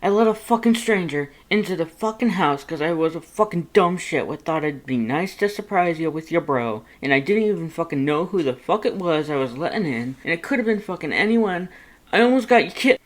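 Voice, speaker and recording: A performer voicing a gruff, foul-mouthed male skeleton monster who is hard on himself but tender underneath, in a fanfiction audiobook. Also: expressive, a little fast, close to an everyday voice. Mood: agitated, furious guilt. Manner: snarling, rumbling, swearing heavily.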